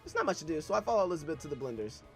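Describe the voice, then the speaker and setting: Young man reading a story, lively voice. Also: fairly high pitch, racing, soft background music. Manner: irreverent, joking asides. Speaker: reader of a novel. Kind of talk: reading a novel aloud with casual asides.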